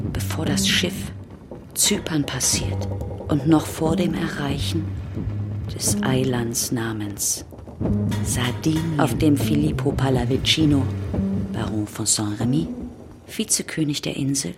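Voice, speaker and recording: A woman as the solemn narrator of a radio play, speaking in a measured, incantatory, poetic way. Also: very deep voice, heavily acted. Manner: measured, incantatory, repeating phrases. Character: solemn female narrator of a plague ship's voyage. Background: prominent music.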